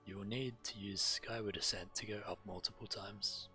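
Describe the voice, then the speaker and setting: A man streaming a game, reading quest text aloud in a measured, deliberate way while he plays. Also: lowish voice, steady tone, bright, forceful tone. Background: fantasy game music.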